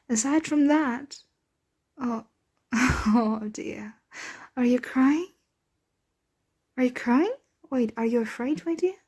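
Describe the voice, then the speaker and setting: A woman voicing a gentle, motherly vampire in an ASMR roleplay, speaking softly and tenderly, often in a whisper, with genteel, coaxing phrasing. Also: on the high side, full character voice. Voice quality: soft, breathy, often whispered. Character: gentle, motherly, wealthy female vampire soothing her captive.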